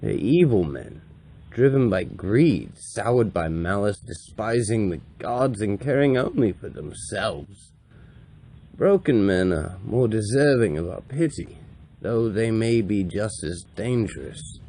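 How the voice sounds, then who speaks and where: A man performing a grave, compassionate old wandering priest in a solemn, deliberate dramatic monologue with a gravelly voice. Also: on the low side, big pitch swings, warm tone, slight background noise, heavily acted. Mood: grave, measured. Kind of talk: dramatic audiobook-style monologue reading.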